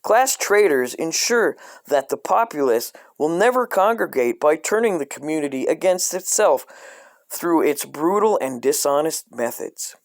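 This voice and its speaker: A man reading a dark comic coloring book aloud in a deadpan way, breaking off into profane asides. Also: on the high side, unhurried, dry recording, clean studio sound.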